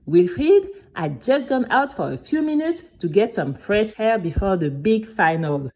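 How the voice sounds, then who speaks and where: A woman's voice: medium pitch, unhurried. A woman playing a spirited young girl who recounts her adventure to friends in a chatty, dramatic first-person audio story. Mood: chatty, eager. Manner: animated, chatty, addresses listeners directly.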